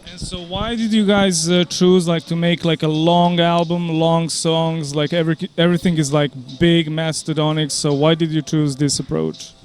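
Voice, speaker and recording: A man's voice: fairly high pitch, level tone, moderate pace, hardly any pauses. A man interviewing a musician, asking questions loosely with many fillers.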